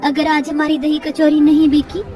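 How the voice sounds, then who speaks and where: Actress as poor, worried cartoon woman, plaintive, earnest, very high pitch, distant mic. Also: monotone, normal speed, soft background music, close to an everyday voice.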